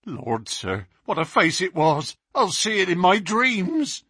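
A man voicing a terrified male police constable who speaks breathlessly in a high, rising voice. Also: big pitch swings, slow pace, sharp tone, breathy edge, no room sound, clean studio sound, exaggerated voice. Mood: frightened.

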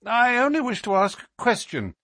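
A man's voice: extremely high pitch, sing-song, moderate pace, pushed and bright, no room sound, clean studio sound, pitched above the performer's own voice, heavily acted. A man voicing a self-assured, courteous but commanding detective in a narrated mystery story. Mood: suavely polite.

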